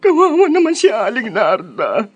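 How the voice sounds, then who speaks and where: An actress playing a frightened, guilt-torn young woman in a Filipino radio drama, with a halting, emotional delivery. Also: on the high side, big pitch swings, dry recording. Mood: anguished, fearful.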